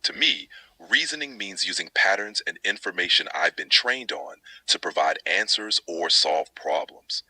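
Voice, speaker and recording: A synthetic man's voice of an AI assistant, explaining things in a measured, articulate and neutral manner. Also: low voice, expressive, pushed and bright, husky, no echo, poor audio. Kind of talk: explanatory question answering.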